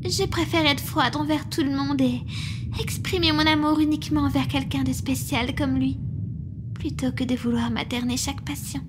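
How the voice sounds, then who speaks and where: A woman voicing a protective, devoted monster medic in a roleplay ASMR, speaking in a soft, attentive, earnest way. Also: high voice, steady tone, normal speed, background noise, natural voice. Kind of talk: French roleplay ASMR, intimate acting.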